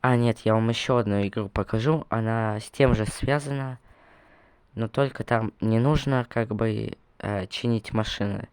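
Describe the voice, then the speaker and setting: A man's voice: lowish voice, moderate pace, clean tone, dry recording. A young man casually commenting on his gameplay, rambling and correcting himself as he talks to viewers, recovering from a cough. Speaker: gaming video creator.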